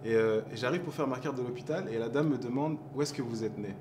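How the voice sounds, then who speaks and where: A man's voice: mid-range voice, fast, background noise. A man from Montreal, talking in a casual interview and searching for words as he reflects. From Montreal, Quebec. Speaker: hip-hop artist guest. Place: café.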